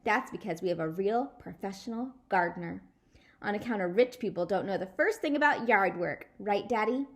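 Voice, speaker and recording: A woman's voice: medium pitch, expressive, normal speed, fairly bright tone. A woman voicing a showy, self-important, bossy rich little girl in a children's storybook. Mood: boastful.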